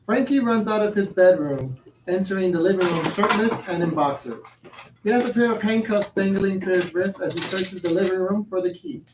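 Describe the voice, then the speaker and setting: A man's voice: high voice, moderate pace. A man reading stage directions as scripted narration for a play. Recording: echoey room, distant, background noise.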